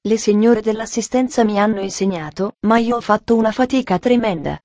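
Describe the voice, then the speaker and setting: An eager, talkative little girl from a storybook chatters on earnestly, as a woman reader puts her on. Her tone is soft and mellow, and the sound is dry and close, with no room around it. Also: mid-range voice, a little fast, dry recording, lightly acted. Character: cheerful talkative young girl.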